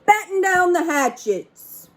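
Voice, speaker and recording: A woman voicing a blustery male pirate captain with drawn-out, hollered nautical lines in a storybook. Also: extremely high pitch, very animated, pitched above the performer's own voice, heavily acted. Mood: shouting, urgent.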